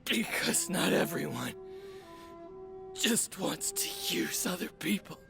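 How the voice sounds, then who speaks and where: A man playing a gravely wounded but defiant, loyal man in a video game, speaking weakly with labored breath. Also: high voice, huge pitch swings, takes it slowly, music in the background, exaggerated voice. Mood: pained, defiant. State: weak, halting, labored breath. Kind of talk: dramatic video game voice acting.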